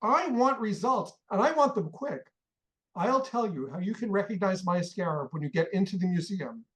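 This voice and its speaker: An actor playing an impatient older American millionaire who barks orders and scolds. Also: male voice, high voice, lively intonation, fairly bright tone, low-quality recording. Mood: scolding. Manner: barking, scolding, rapid-fire orders. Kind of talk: radio play acting.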